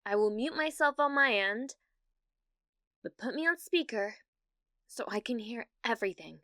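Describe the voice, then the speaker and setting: ASMR roleplay: actress as obsessive young British female gamer, higher-pitched, halting and nervous, very dry sound. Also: animated, bright, forceful tone, dry recording. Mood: wary, determined. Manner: halting, flustered, breathy laughs and hesitations.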